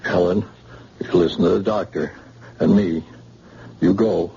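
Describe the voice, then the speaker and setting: A low, dark-voiced man, a scared witness who turns bitter and defiant. He sounds tense and guarded. The sound is a muffled old radio broadcast with faint hiss. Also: steady tone, unhurried, gravelly. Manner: tense, defensive, then defiant. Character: frightened young husband, a hospital witness. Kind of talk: 1940s radio acting.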